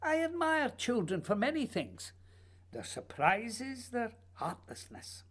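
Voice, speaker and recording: A man's voice: very high voice, sing-song, takes it slowly, fairly bright tone, heavily acted. A man playing a whimsical, childlike playwright in a radio play, musing and teasing in a theatrical way. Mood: musing, wistful. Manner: theatrical, musing, playfully urging.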